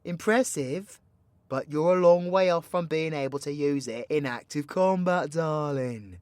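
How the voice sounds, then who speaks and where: A man's voice: fairly high pitch, measured pace, clear, resonant tone, no room sound, lightly acted. A man playing a cocky, foul-mouthed, playfully teasing supervillain mentor in an audio roleplay, with a British accent and a slangy, swaggering, theatrical delivery. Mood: teasing, instructive. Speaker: voice actor. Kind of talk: villain ASMR audio roleplay, addressing the listener.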